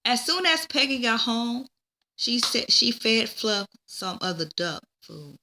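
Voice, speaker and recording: A woman reading a children's story aloud in a deliberate way, now and then stumbling and repeating words. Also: a little high, very animated, bright, forceful tone, slight background noise. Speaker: teacher reading a book aloud. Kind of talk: children's read-aloud narration.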